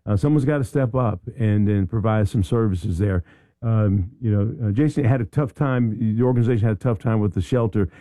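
Mellow-voiced male radio host. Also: lowish voice, a little fast, dry recording. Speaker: radio talk show host. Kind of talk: conversational radio interview.

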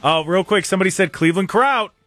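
A man's voice: sits high, quick pace, a bit bright, no echo, faint music behind. A man hosting a talk radio show, bantering casually and teasingly and reading out texts from listeners. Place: radio studio.

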